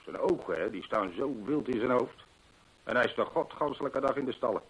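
An actor playing a talkative, anxious horse trainer in a Dutch radio drama, with a husky, gossipy way of telling things. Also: male voice, mid-range voice, animated, brisk, poor audio. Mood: worried, confiding. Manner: gossipy, emphatic storytelling.

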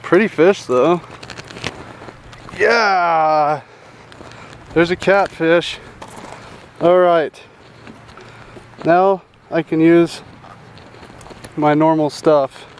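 A young man hosting a casual fishing vlog outdoors at a rural pond, chatty and excited, calling everyone "dude" and "guys", recorded on a head-mounted action camera. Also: a little high, extremely slow, pauses often, slight background noise. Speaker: fishing channel host.

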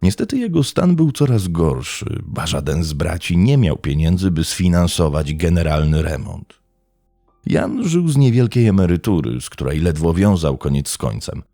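A man reading scripted true crime narration in a measured, dramatic storytelling manner. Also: low-pitched, very animated, warm tone, dry recording. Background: faint background music.

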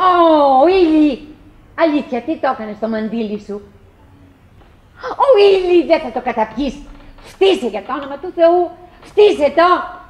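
A middle-aged woman in a radio play, with a fairly high voice. She chatters on to her husband with dogged cheer and an undertow of wistfulness. Her delivery is theatrical and halting, circling back over her own phrases. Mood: pleading, insistent. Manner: theatrical, halting, musing with repetitions. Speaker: stage and radio actress.

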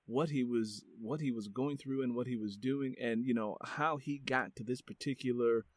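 A man's voice: mid-range voice, normal speed, no echo. A man hosting a book podcast, chatting in a friendly, rambling way with fillers and restarts. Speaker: podcast host. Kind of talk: casual interview chat.

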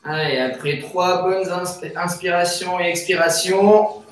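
A middle-aged man leading an exercise class with short, energetic cues while moving. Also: sits high, unhurried, a little hiss or hum. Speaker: fitness instructor. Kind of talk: exercise class instruction with counted repetitions. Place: indoors, bare room with wooden floor. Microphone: far camera microphone. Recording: echoey room, distant microphone, music behind.

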